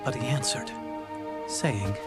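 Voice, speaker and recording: A man narrating an animated film in a solemn, reverent way. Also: medium pitch, slow. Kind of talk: scripted narration. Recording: narration over loud film music.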